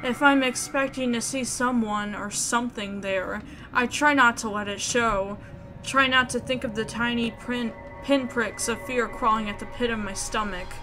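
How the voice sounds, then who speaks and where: A woman reading scripted story narration aloud in an expressive way. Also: fairly high pitch, moderate pace, poor audio. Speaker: video game player reading narration aloud. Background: music.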